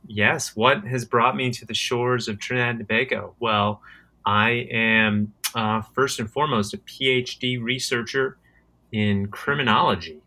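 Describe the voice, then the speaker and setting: A man's voice: on the low side, sharp tone. An American man answering interview questions as a guest, often repeating words and restarting while he finds his phrasing. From United States. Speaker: guest, criminology researcher and conservationist.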